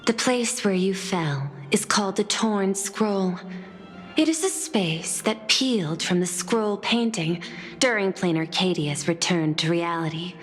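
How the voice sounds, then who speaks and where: An actress voicing a poised, solemn noblewoman in a video game, speaking formally and articulately with courteous, measured phrasing. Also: on the low side.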